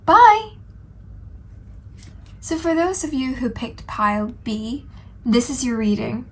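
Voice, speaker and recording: A woman's voice: high-pitched, very animated, slow, slight background noise. Nasal woman reading tarot cards. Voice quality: slightly nasal. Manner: gentle, earnest, with frequent ums and "I feel like". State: stuffy nose from a cold. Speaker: tarot reader. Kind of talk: solo tarot reading to camera.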